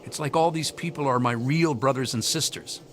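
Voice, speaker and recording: A man's voice: medium pitch, normal speed, background noise, lightly acted. An actor playing a troubled, earnest young man haunted by hunger, confiding hesitantly as video game dialogue. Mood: troubled, reflective. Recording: music behind the voice.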